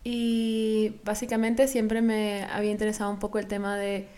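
Woman, Venezuelan accent, mid pitch, flat intonation, interview guest, casual explaining. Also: moderate pace. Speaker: interview guest, co-founder of a volunteer project.

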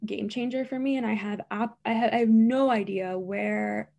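A woman's voice: on the high side, fairly even intonation, normal speed, gravelly, darkish tone. A woman who stutters, a speech-language pathologist answering interview questions in an earnest, emphatic way, with frequent repetitions and blocks.

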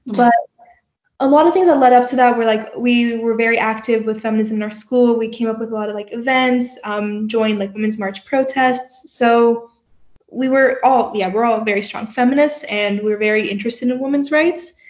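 A woman's voice: on the high side, steady tone, normal speed, darkish tone. A teenage girl who grew up in Turkey, a guest telling her story in a casual interview, with lots of filler words. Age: teens. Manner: chatty, many "like" fillers. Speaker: guest, young company founder. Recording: roomy, dull lo-fi sound.

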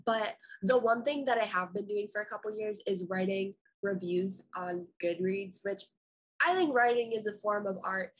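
A woman's voice: medium pitch, normal speed, poor audio. A young woman chatting casually about songwriting, rambling with many fillers. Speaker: songwriter in a discussion. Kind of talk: casual chat.

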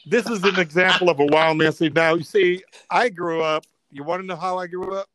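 An older man born in Italy, a comedian telling stories in a casual chat, animated and full of restarts. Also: sits high, fairly quick, slight background noise. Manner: animated storyteller, many restarts and fillers. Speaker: comedian guest.

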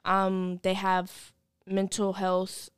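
A woman's voice: mid-range voice, monotone, extremely slow, clean tone, no room sound, studio quality. A woman in her early twenties talking candidly and informally as an interview guest. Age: early 20s. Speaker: podcast guest, program client. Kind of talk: candid personal conversation.

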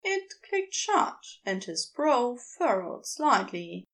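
A woman narrates a piece of fiction in a fairly high, highly expressive voice. Her delivery is unhurried, with a clearly creaky edge as she reads. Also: poor audio. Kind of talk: scripted story narration.

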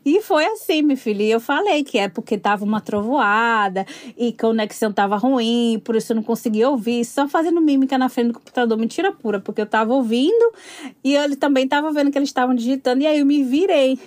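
A woman from Brazil in her thirties, telling her story in a casual interview, animated and laughing often. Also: high-pitched, quick pace, no room sound.

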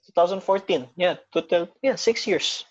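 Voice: medium pitch, normal speed, highs cut off. A speaker from Indonesia with American-leaning English, a school English program coordinator talking things over reflectively in a casual chat. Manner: reflective, self-questioning.

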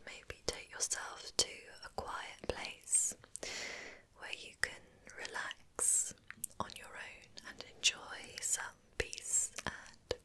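A woman whispering softly and breathily as she gently guides a relaxation ASMR session, soothing and unhurried. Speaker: ASMR host guiding relaxation.

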